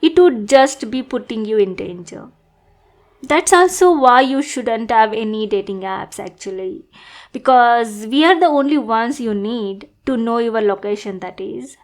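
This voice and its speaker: Woman acting a sweet, high-voiced yandere bodyguard. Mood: sweetly bossy. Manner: sweet, adorkable, slow, gushing then backpedalling. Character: obsessive, lovestruck young female bodyguard, sweetly bossy and possessive. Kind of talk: acted audio roleplay addressed to listener.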